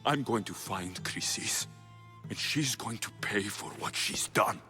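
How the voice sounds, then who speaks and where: A man's voice: lowish voice, unhurried, in a large hall, music in the background, close to an everyday voice. A man playing a fierce, vengeful mercenary hero with an intense, accusing delivery in a video game cutscene. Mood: accusing, angry. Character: fierce, vengeful mercenary hero seeking his lost family. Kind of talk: dramatic game cutscene acting.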